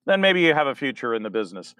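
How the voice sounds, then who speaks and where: A man's voice: medium pitch, sing-song, racing, a bit bright, clear, resonant tone, dry recording. A man, a community theater director, chatting casually as an interview guest, rambling a bit and laughing easily.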